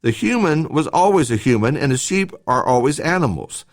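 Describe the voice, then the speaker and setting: A man reads a scripted devotional talk as a church podcast host, in a measured, instructive way with short emphatic phrases. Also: on the high side, sing-song.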